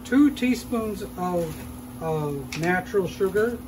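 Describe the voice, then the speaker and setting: A man hosting a cooking show, calmly explaining each step as he cooks in a shop demo kitchen. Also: sits high, slow, noisy background. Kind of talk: casual cooking demonstration.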